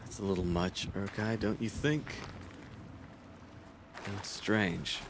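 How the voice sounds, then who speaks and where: Solemn, low-voiced outcast hunter. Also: male voice, steady tone, background noise. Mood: pensive. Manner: measured, earnest, questioning. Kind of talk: video game cutscene acting.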